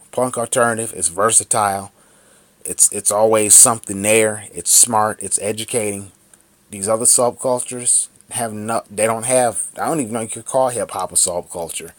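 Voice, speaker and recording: A man in his thirties vents into his camera in a heated, profane monologue, circling back to the same points again and again. His voice sits fairly low, and the recording is dry with almost no room sound. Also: dry recording. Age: 30s. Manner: angry, profane, repetitive ranting. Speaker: vlogger. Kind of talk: ranting vlog monologue.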